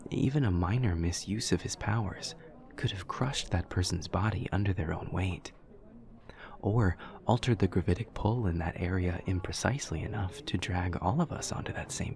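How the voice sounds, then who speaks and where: A man playing an awkward, earnest young demon student in an audio roleplay, speaking softly in stiff, precise, literal sentences. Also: low voice, gravelly. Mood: admiring, precise. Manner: stiff, precise, literal, measured formal diction. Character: awkward, formal, earnest male demon student with horns and tail. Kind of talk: audio roleplay, scripted monologue to a listener.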